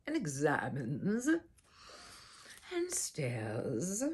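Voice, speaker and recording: A woman reading a children's picture book aloud in a theatrical, exaggerated way, with a breathy voice and dramatic shifts in delivery. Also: deep voice, wildly swooping pitch, extremely slow, background noise. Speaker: children's storyteller and read-aloud host.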